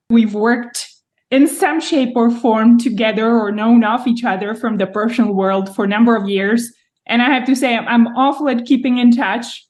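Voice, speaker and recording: A woman's voice: a little high, a little mellow. Woman hosting a leadership podcast. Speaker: podcast host. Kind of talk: scripted podcast intro then interview.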